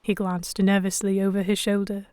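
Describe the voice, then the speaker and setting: A woman playing an anxious, indignant young captive who narrates her ordeal in expressive, first-person storytelling for an audio drama. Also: mid-range voice, normal speed, clean tone, no room sound, close to an everyday voice. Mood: tense.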